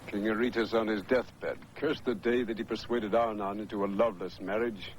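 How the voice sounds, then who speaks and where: A man's voice: lowish voice, fairly even intonation, moderate pace, slight background noise, lightly acted, low-quality recording. An actor playing a solemn, dignified king. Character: dignified, gentle middle-aged desert king. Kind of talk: 1950s Hollywood epic film acting.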